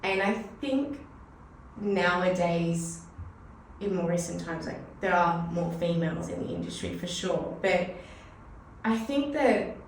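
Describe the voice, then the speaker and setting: Australian woman, 30s, podcast guest; relaxed, reflective talk; very reverberant room, distant mic. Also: on the low side, unhurried. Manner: chatty and reflective, many fillers like "you know". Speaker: finance broker, podcast guest. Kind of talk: casual conversational interview. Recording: echoey room, mic at a distance, faint noise.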